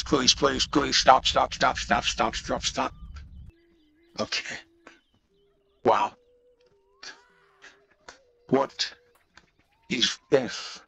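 Gravelly man in slow gameplay commentary, voice-changed. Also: mid-range voice, bright, forceful tone, a little hiss or hum. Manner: short, fragmented exclamations, often repeating words. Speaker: gamer recording gameplay.

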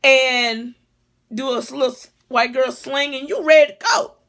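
A woman's voice: sits high, normal speed, creaky, fairly bright tone. A middle-aged woman chatting casually in a home vlog, blunt and full of swearing, with comic exasperation. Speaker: vlogger reviewing a wig. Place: small living room or bedroom at home, indoors. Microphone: phone or webcam at arm's length.

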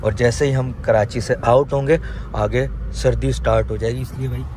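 A man's voice: mid-range voice, normal speed. A young man in his twenties with a sore throat, chatting through a casual travel vlog to a handheld camera, first in a yard and then in a car. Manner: excited, calls out loudly when flustered. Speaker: vlogger. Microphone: handheld camera at arm's length. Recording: noisy street and car background.

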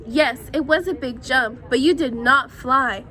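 A young woman voicing a cautious, doubtful elephant. She draws out every word slowly and with firm emphasis. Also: sits high, pushed and bright. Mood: deliberate. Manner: slow, deliberate, stern emphasis.

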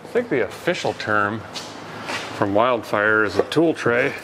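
A man in his thirties talking casually as he works, with a folksy, deadpan, rambling humor, as host of a garage repair vlog. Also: fairly low pitch, a little slow. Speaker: host of a car repair show. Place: large auto repair garage with concrete floor. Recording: clear background noise, garage ambience.

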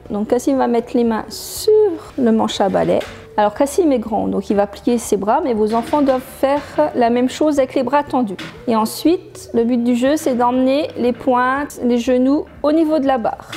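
A woman in her thirties, a gym coach, giving clear step-by-step exercise instructions in a living room. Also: high voice, warm tone.

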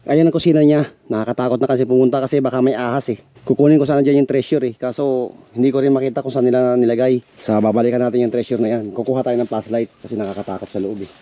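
A man vlogging as he walks through an overgrown yard, chatting to viewers as he guides them along, sometimes going quiet and hushed. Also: mid-range voice, steady tone, quick pace, no echo. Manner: chatty, guiding viewers, hushed when uneasy. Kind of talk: casual tour narration. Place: overgrown rural yard outdoors.

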